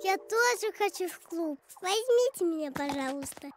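A woman's voice: extremely high pitch, expressive, normal speed. A woman voicing a tiny, eager, childlike egg in a children's cartoon, playful and a little shy. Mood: shy, pleading. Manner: childlike, vocal beatboxing. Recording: background music.

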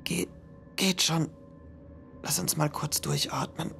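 A young man playing a wary student friend on a dark search, talking cautiously. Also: fairly high pitch, steady tone, slow pace, creaky, heavily acted. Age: young adult. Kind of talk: fan audio drama acting. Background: horror music.

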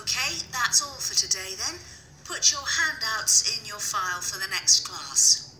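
Clear, bright-voiced woman reading lessons. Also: medium pitch, expressive, a little hiss or hum, rough recording. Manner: slow, clear, careful speech. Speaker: course audio reader. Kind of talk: scripted coursebook dialogue.

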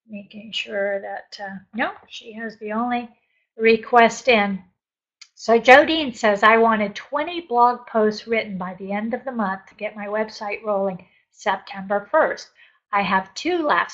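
An older woman leads an online coaching group from her home office, upbeat and encouraging. Her voice sits fairly high, and she talks into a laptop mic on a video call. The audio is dull and lo-fi. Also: fairly bright tone. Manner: upbeat, encouraging, laughs often. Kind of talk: live webinar coaching, reading chat aloud.

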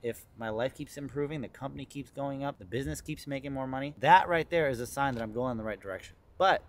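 Man in his thirties, mid-pitched voice, brash, swearing, punchy repeated points, close camera mic. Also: fast, slight background noise. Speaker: landscaping business owner and vlogger. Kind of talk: blunt business advice vlog. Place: home office with studio monitors, also outdoors and in a truck.

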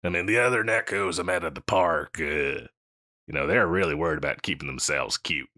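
A man playing a scruffy, insecure slacker catboy pet in a comedic audio roleplay, with a halting, mumbly dude drawl. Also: low voice, very animated, creaky, bright, forceful tone, dry recording, clean studio sound, exaggerated voice. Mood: sheepish, insecure.

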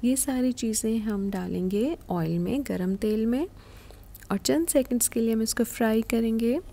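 A woman's voice: fairly high pitch, moderate pace, dark tone. A woman hosting a cooking show, narrating a recipe step by step and instructing the viewer directly. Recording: clear sizzling and stirring kitchen noise. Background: frying, sizzling and stirring.

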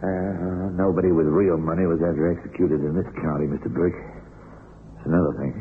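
A man's voice: low voice, flat delivery, brisk, close to an everyday voice. An actor playing an older, weary, guilt-ridden small-town sheriff and hangman in a folksy, brooding radio drama. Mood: quietly conspiratorial. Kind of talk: old-time radio drama acting. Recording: tinny, hissy old radio recording.

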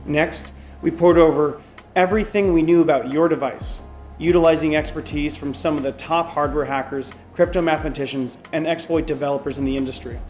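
Man in his forties, lab spokesman reading a letter; slightly high voice, measured and earnest. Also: steady tone, a little mellow, faint music behind. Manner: measured, earnest delivery to camera. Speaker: company spokesman. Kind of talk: scripted open letter reading. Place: indoor hardware lab.

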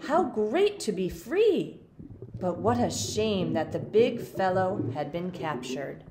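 A woman reading a children's picture book aloud expressively, with dramatic pauses and emphasis for young listeners. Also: medium pitch, very animated, background noise. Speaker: storybook reader.

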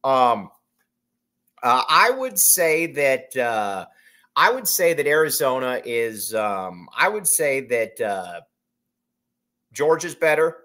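A man's voice: medium pitch, very animated, slow, long pauses, pushed and bright. A man in his thirties hosting a solo sports podcast from a small room at home, rambling through a postgame reaction with many fillers and repeated phrases.

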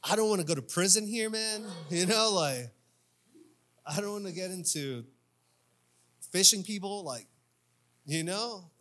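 A man's voice: high-pitched, lively intonation, unhurried, long pauses, fairly bright tone. A man in his thirties preaching a sermon in an informal, conversational way, repeating phrases for emphasis, on a handheld mic. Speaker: pastor preaching at a church service. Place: church stage indoors. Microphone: handheld microphone.

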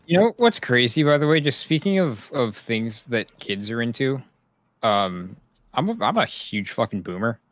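A man in his twenties bantering on a stream, excitable and prone to repeating himself. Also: medium pitch, normal speed, no room sound. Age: 20s. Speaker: co-host. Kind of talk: casual banter.

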